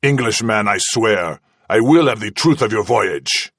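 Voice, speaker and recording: A cruel commander taunts his captive in a mocking, singsong menace, his voice lifted high above the narrator's own. A man puts him on in a dry, close reading with no room sound. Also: lively intonation, a little slow, fairly bright tone, no echo, full character voice. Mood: angry. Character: cruel sneering Spanish commander, menacing, toying.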